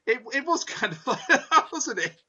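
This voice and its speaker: Male host, high bright voice, wordy and dry, a bit tired; lo-fi call sound. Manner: wordy, self-correcting, dry humor. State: tired, late at night. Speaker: podcast host. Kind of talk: casual review discussion.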